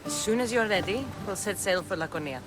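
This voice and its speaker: A woman acts out a young Spartan warrior and seafarer for a video game. She is bold and defiant, speaking directly and with determination in a medium-pitched voice. Music is loud behind her. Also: lively intonation, a little fast, lightly acted. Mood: determined. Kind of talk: dramatic video game acting.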